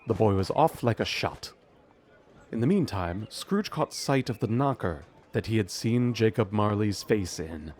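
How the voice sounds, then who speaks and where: Storybook narrator: lowish voice, measured scripted delivery, faint background ambience. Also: male voice, animated, darkish tone, slight background noise. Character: omniscient storybook narrator of a Christmas tale. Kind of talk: scripted audio drama narration.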